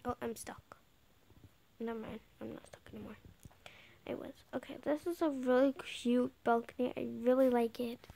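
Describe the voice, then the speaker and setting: A woman's voice: fairly high pitch, steady tone, moderate pace, creaky, warm tone, a little hiss or hum. A young girl giving a casual tour in a game on a handheld device, chatting and often dropping to a whisper. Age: child. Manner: chatty, drifts into whispers while playing. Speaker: channel owner giving a game house tour. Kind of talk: casual gameplay commentary.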